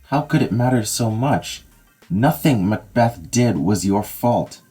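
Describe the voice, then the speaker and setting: A woman's voice: very deep voice, animated, slow, warm tone, husky, soft background music, heavily acted. A woman voicing a worried, questioning companion who speaks in a reproachful way. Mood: reassuring. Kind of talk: amateur audio drama acting.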